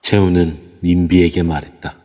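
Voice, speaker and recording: A man narrating an audio drama in a steady storytelling way. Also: sits low, normal speed. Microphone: phone in an online voice room. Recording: long reverb on a voice chat app.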